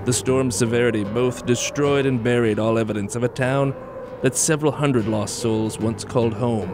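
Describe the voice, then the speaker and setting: A man giving scripted historical narration for a short documentary, in a deliberate storytelling manner. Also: medium pitch, steady tone, very noisy. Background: plucked guitar music.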